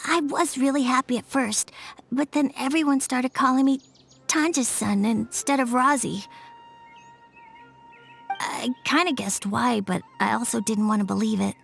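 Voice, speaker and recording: A woman voicing a shy, earnest young boy who speaks softly and hesitantly in a game. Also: on the high side, measured pace, gravelly, soft background music. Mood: wistful. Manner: soft, hesitant storytelling. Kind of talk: game voice acting.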